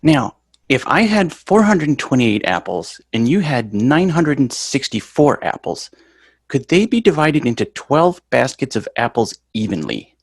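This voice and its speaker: A man's voice: medium pitch, very animated, a little slow, breathy edge, dry recording, studio quality, heavily acted. A man reading the part of an eager, wisecracking ten-year-old boy in an amateur sitcom table read. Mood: eager, chirpy.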